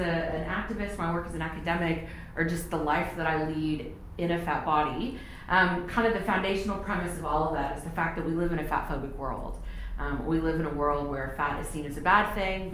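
Low-voiced woman lecturing in echo. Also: fairly bright tone. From Texas, United States. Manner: measured, frequent ums and restarts. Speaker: visiting university lecturer. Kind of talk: academic talk. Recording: very echoey room, faint noise.

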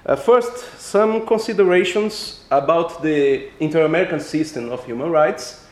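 A man with a Brazilian accent, a native Portuguese speaker, giving an academic lecture in halting, careful English. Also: a little high. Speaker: law professor, guest lecturer. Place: echoey indoor room. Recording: echoey lecture room.

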